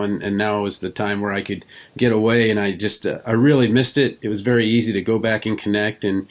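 Low-voiced middle-aged man hosting a podcast. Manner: rambling, self-rephrasing questions. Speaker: podcast host, interviewer. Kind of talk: casual conversational interview.

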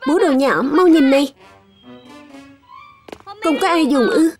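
A woman's voice: high voice, slow, over music. A woman voicing an eager, curious little boy in a dubbed children's cartoon, with a childlike, exclamatory manner. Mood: puzzled.

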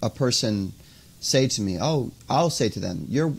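A young man from the United States, a podcast guest talking casually and haltingly, with many "you know"s, over an earphone mic on a video call. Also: medium pitch, animated, gravelly, husky. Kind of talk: interview conversation. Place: indoors by a window, video call.

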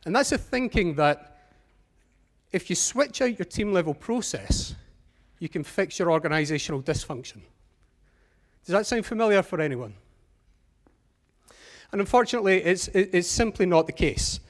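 A Scottish man in middle age presents a keynote from a large auditorium stage. His voice sits a little high, and he talks in a measured, earnest way, wearing a headset mic. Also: expressive. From Scotland. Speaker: conference speaker.

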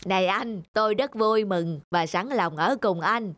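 A woman's voice: mid-range voice, measured pace, a bit bright, slight background noise, close to an everyday voice. A woman voicing a friendly, oblivious middle-aged man in a cartoon, speaking with cheerful earnestness. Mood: cheerful, earnest. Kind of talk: cartoon dub.

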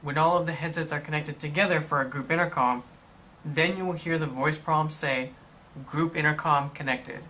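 Bright-voiced man narrating a tutorial. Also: fairly high pitch, fairly even intonation, moderate pace, a little hiss or hum. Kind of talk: scripted instructional narration.

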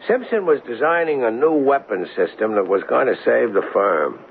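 Brash, gravelly radio-drama cop. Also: male voice, mid-range voice, animated, moderate pace. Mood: insistent, puzzled. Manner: brash, slangy, insistent. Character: eager hunch-driven married police detective, adult man. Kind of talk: 1970s radio drama acting. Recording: muffled, lo-fi, noisy old radio broadcast.

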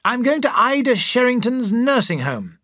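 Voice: mid-range voice, big pitch swings, moderate pace, a bit bright, dry recording, studio quality, pitched above the performer's own voice. A narrator voicing a scheming society doctor in an audiobook, coaxing and conspiratorial. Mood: persuasive. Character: scheming male society doctor, smooth and persuasive. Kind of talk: audiobook character voice.

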